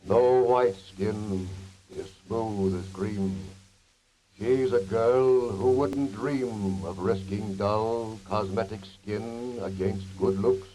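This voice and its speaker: An actor playing a blunt, truthful magic mirror, reciting solemnly in measured rhyme in an old radio skit. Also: male voice, lowish voice, dark tone, poor audio. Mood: solemn, frank. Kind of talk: 1930s radio acting in an ad skit. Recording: hissy old 1930s radio recording.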